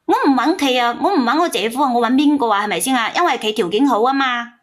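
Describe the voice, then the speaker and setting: High-pitched, defensive woman. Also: fast talker. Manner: defensive, self-justifying, insistent. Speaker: caller on a story call-in show. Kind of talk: heated personal confession.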